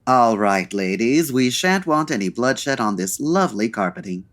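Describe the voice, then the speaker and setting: An actor playing a suave, dry-witted 1940s gentleman detective, urbane and measured, in an old-time radio style. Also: mid-range voice, very animated. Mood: flustered, wry.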